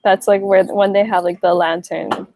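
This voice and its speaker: A woman's voice: medium pitch, little pitch movement, moderate pace, dark tone, slight background noise. A young woman from Hawaii answering interview questions in a loose, rambling way, full of fillers and restarts. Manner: rambling, many likes and restarts. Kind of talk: casual interview.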